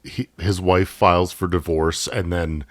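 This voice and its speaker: A man's voice: sits low, steady tone, no echo, clean studio sound. A man co-hosting a casual movie podcast, chiming in often and swearing freely. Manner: quick agreeing interjections, swears casually. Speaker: podcast co-host.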